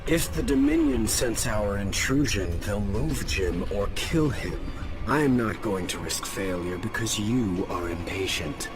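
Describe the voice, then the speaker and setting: A composed rebel leader in a sci-fi game. His voice is fairly low, firm and formal. Music plays clearly behind him. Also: lively intonation, a little slow. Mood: firm, defiant. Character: composed, resolute young male rebel leader.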